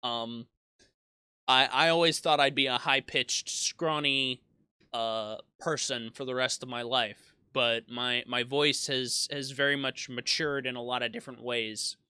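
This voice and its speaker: A man's voice: mid-range voice, fairly even intonation, unhurried, pushed and bright, smooth, dry recording. A man in his twenties, a YouTube gaming creator, reflecting in a casual monologue that rambles with frequent restarts and self-deprecating asides. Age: 20s.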